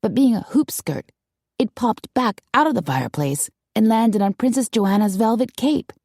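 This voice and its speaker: A woman's voice: fairly high pitch, animated, moderate pace, a little mellow, dry recording, voice pushed up. A woman voicing a sardonic, dramatic young female gossip with a dry drawl in an audiobook story. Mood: gleeful gossip.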